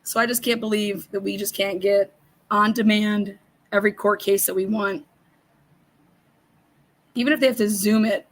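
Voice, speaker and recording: A middle-aged woman hosting a casual livestream, hoarse and raspy, reading viewer comments and ranting bluntly with lots of swearing. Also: mid-range voice, poor audio. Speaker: livestream host.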